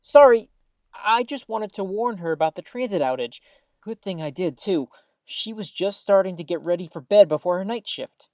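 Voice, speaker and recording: An actress plays an easygoing woman who co-hosts in a radio drama. Her mid-pitched voice swoops about in a lively way, friendly and prone to musing. The sound is close and dry. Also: moderate pace, dry recording, natural voice. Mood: upbeat. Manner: animated, musing, trails off. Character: easygoing, friendly, rambling female radio co-host. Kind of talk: audio drama, conversational banter.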